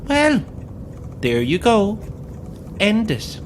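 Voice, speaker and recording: Possessed Irish coach guide, gleefully sinister, slow and swooping delivery, audio drama over vehicle noise. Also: male voice, high voice, wildly swooping pitch, heavily acted. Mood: cool, commanding. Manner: theatrical, taunting.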